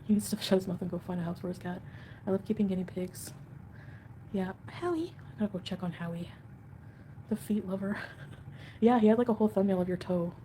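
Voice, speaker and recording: A woman chats with her viewers on a home livestream, rambling from one point to the next and getting defensive about comments. Her voice is mellow and sits at an ordinary middle pitch. A faint hiss stays low under her talk. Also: brisk, pauses often. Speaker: livestream host. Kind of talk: casual livestream chat reading comments.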